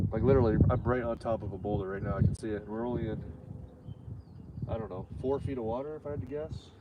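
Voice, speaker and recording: A man's voice: fairly low pitch, background noise. Stuffy-nosed man fishing outdoors. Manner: rambling, many fillers and restarts. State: stuffy nose. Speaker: fishing livestream host. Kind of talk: casual live fishing commentary.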